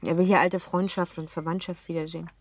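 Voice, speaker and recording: A woman acting a lively, playful, well-born girl of seventeen in a German radio play, chatty and teasing. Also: fairly low pitch, quick pace. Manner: chatty, teasing, self-assured.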